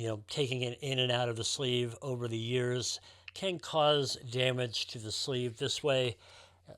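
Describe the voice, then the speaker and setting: A man's voice: medium pitch, fairly bright tone, no room sound, studio quality. A man talking casually and enthusiastically to viewers as a collector presenting his show.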